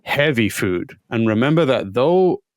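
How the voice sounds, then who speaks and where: A man's voice: medium pitch, lively intonation, unhurried, no room sound, clean studio sound. A man co-hosting a podcast in casual group chat, swearing freely and adding wry asides. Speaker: podcast co-host.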